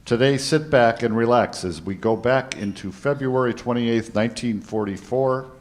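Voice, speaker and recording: A man's voice: medium pitch, normal speed. A man reading a scripted introduction to a performance as its presenter.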